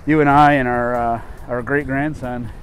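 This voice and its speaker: A man's voice: medium pitch, steady tone, normal speed, a little hiss or hum, poor audio. A man in his forties teaching English in a casual, explanatory way through scripted conversation.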